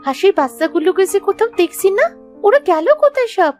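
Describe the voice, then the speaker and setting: A woman voicing an eager, excitable young village daughter in a children's cartoon story. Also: very high-pitched, fast, faint music behind, voice pushed up. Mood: worried.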